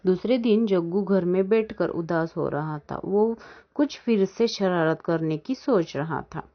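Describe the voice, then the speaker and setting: A woman's voice: on the low side, measured pace. A woman reading scripted narration for a children's animal story. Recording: dull lo-fi sound.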